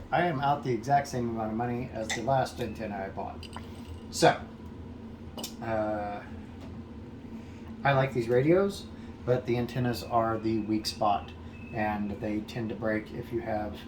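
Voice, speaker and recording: An American man giving a casual, plain-spoken how-to explanation in a methodical, measured way. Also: mid-range voice. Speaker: amateur host of a radio repair video. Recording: echoey room, loud background noise.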